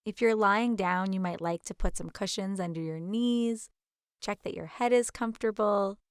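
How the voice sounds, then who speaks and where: A woman leading a guided meditation as instructor, with a gentle, soothing, unhurried manner. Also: mid-range voice, smooth, dry recording, studio quality.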